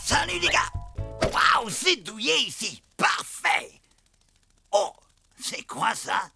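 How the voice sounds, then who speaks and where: A very gravelly cartoon bear cub, greedy and blunt. The delivery swings widely in pitch and takes its time, over loud music. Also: very animated, slow, exaggerated voice. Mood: excited, curious. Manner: childishly demanding, blunt. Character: big boisterous greedy bear cub, an uninvited guest. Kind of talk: animated cartoon acting.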